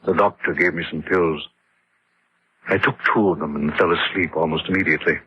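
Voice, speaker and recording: A man's voice: sits low, fairly even intonation, a little fast, breathy edge, no room sound. An actor playing an exhausted, grieving man who narrates his haunting in a confessional way in an old radio horror drama. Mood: numb, haunted. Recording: dull lo-fi old radio recording.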